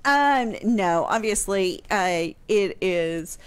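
A woman's voice: medium pitch, lively intonation, very slow, clean tone. A middle-aged American woman hosting a casual radio talk show, speaking close to the microphone. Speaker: radio show host answering history questions. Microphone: close microphone on boom arm, headphones.

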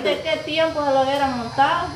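A young Guatemalan woman with a high voice, quick and a little defensive in her replies. She sounds distant and echoey, recorded outdoors with many voices chattering close by. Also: steady tone, over a noisy crowd. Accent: Guatemalan Spanish. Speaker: vlog regular. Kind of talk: casual banter. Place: outdoor porch of a house. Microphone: camera from a few meters off.

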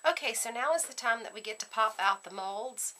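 A woman giving a casual step-by-step craft demonstration at her worktable, chatting in a relaxed way while working with her hands. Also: on the high side, fairly quick, slight background noise. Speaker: craft tutorial host.

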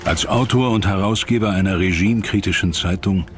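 Deep male documentary narrator over music. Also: brisk. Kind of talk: scripted narration. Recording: clear music bed behind voice.

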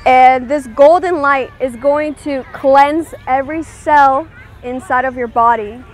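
A woman leading a guided meditation, giving gentle, soothing instructions and checking in with her listeners. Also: high-pitched, a little slow.

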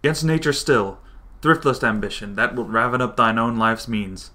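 A man as a troubled, bright-voiced nobleman. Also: mid-range voice, normal speed. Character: troubled, sober Scottish nobleman. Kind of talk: Shakespearean radio drama acting.

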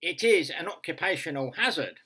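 A man performs an earnest funeral director phoning a radio show in a comic story. The voice is nasal and bright, with pitch that swings widely. He is hesitant and ponderous, repeating himself with deadpan solemnity. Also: moderate pace, husky, heavily acted. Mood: solemn, pedantic. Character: earnest, long-winded male funeral director, radio phone-in caller.

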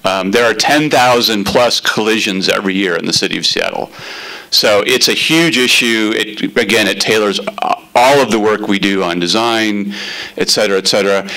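A middle-aged man, a city transportation planner, giving a loud, chatty talk to a community meeting. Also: lowish voice, moderate pace, poor audio. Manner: loud, chatty, relaxed explaining.

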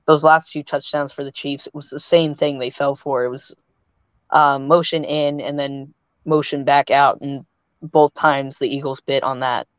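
A young man on a video call giving measured, detailed breakdowns of football play. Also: medium pitch, flat delivery, clean tone, no room sound, lo-fi sound. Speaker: panelist. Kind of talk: casual sports analysis.

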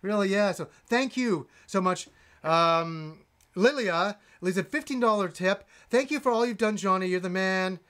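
A man in his forties, a gaming channel host, reading donations on a live stream with lively enthusiasm and rambling restarts, in a room with game shelves. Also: sits high, moderate pace. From Yorkshire, England, raised in Canada. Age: 40s.

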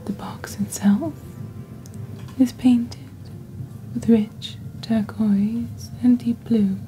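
Slow, soft, whispery woman as a witch presenter. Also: on the high side, fairly even intonation, faint music behind, poor audio. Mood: composed, mysterious. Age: young adult. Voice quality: soft, breathy, half-whispered. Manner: slow, deliberate, measured. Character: female witch presenting a mystical shopping channel, composed. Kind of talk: ASMR roleplay, scripted product presentation.

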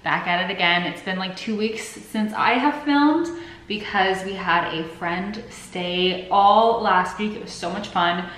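A woman's voice: mid-range voice, unhurried, a bit bright, a little hiss or hum. A woman in her twenties, a bit sick and sniffly, chatting casually and rambling to the camera in her home kitchen. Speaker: vlogger. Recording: echoey kitchen.

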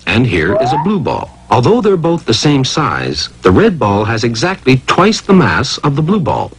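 A man's voice: mid-range voice, huge pitch swings, normal speed, crowd noise. A man narrating an educational cartoon from a script in a theatrical, emphatic way, posing rhetorical questions.

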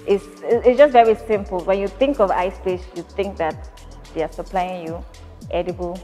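A Nigerian woman in her thirties, a company founder, answering interview questions in a measured, thoughtful way with frequent fillers, recorded indoors in a factory. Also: mid-range voice, steady tone, dark tone, big-room sound, soft background music.

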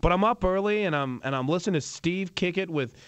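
A man's voice: high-pitched, lively intonation, fast talker, a bit bright, clear, resonant tone, no echo. A man in his thirties hosting sports talk radio, giving emphatic, opinionated takes in conversational banter. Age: 30s.

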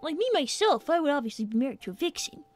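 A woman's voice: high voice, very animated, fast, darkish tone. A woman voicing a young, brainy cartoon fox boy who chats in a sassy, self-assured way as a gaming livestream host. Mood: matter-of-fact. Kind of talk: in-character gaming livestream chat. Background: game music.

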